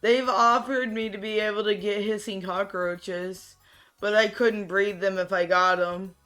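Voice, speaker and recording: Tired, raspy, monotone streamer. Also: a little slow, soft background music. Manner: soft, low-key and rambling, with frequent restarts. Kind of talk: casual chat while gaming on stream.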